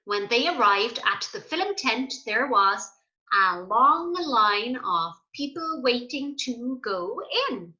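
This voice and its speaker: Animated, high-voiced woman reading to children. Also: takes it slowly, dull audio. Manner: expressive, questioning, addressing young children. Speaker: primary school teacher reading aloud. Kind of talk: children's story read-aloud with asides to pupils.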